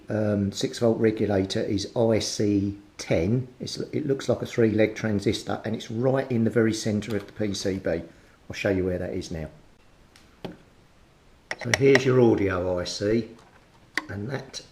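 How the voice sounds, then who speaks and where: Low-voiced man in an echoey room. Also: darkish tone. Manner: methodical, thinking aloud. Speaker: hobbyist radio repairer presenting his progress. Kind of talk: hands-on repair walkthrough, unscripted commentary. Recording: roomy echo, clear rumbling background noise.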